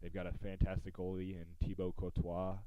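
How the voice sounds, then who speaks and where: A man's voice: sits low, monotone, fairly quick, clean tone, dry recording, highs cut off. An American man hosting a podcast, talking sports in a casual, conversational style. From United States.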